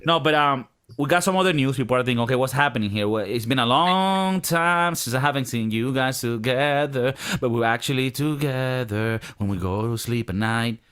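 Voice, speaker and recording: A man's voice: mid-range voice, moderate pace, hardly any pauses, clean tone. A man hosting a casual podcast, joking and swearing freely as he tells stories. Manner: profane, joking, animated storytelling. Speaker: podcast host.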